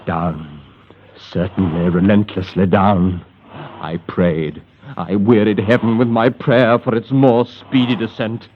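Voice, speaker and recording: An actor playing a condemned captain tormented by dread, speaking in a halting, literary way in the style of old radio horror. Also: male voice, fairly low pitch, steady tone. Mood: haunted, brooding. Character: condemned male captain, tormented prisoner narrating his dread. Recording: muffled, noisy old radio recording.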